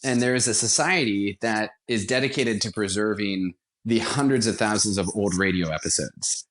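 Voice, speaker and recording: A man's voice: lowish voice, normal speed, a bit bright. A man speaking as an interview guest, explaining his creative work as a radio theatre director in an enthusiastic, conversational way.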